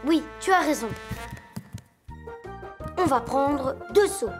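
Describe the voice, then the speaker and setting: High-voiced sensible little boy hippo. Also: animated, slow pace, over music. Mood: sensible, instructive. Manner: earnest, reasonable, mildly hesitant. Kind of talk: children's cartoon dubbing.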